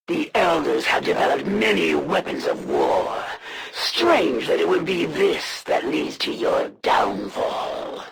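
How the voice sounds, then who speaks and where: Gravelly, haughty alien assassin villainess. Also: female voice, low voice, noisy background, exaggerated voice. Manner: haughty, formal, deliberate, commanding. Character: proud, menacing female alien assassin, zealous servant of the Elders. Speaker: video game villain. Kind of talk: theatrical game villain voice acting.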